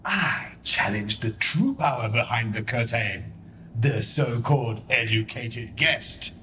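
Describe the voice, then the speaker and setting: Man acting a grandiose, slow-talking villainous marquis. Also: medium pitch, expressive, pushed and bright, a little hiss or hum. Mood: gloating, grand. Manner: grandiose, declamatory, mock-Shakespearean. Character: scheming theatrical villain, a male marquis narrator. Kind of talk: radio play acting.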